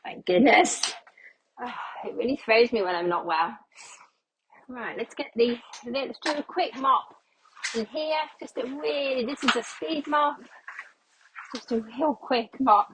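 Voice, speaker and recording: A middle-aged woman chats away while she mops, thinking aloud and poking fun at herself. She sounds a little out of breath and slightly congested, with her voice starting to go. Her voice sits fairly high, with a faint hum of noise in the room. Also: a little slow, fairly bright tone, low-quality recording. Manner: chatty, self-deprecating, thinks aloud. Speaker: cleaning vlogger. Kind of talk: casual chat while cleaning. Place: home kitchen while mopping.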